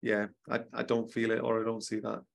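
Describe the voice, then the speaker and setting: A man's voice: lowish voice, completely flat delivery, a little fast, creaky. A man, a spiritual mentor talking as a podcast guest in a rambling way, full of ums and restarts. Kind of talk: casual conversational interview.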